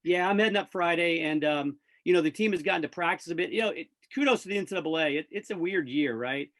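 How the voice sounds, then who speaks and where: A man's voice: fairly high pitch, fast, a bit bright. An American man, a radio play-by-play announcer, chatting casually and upbeat as a guest in a sports interview.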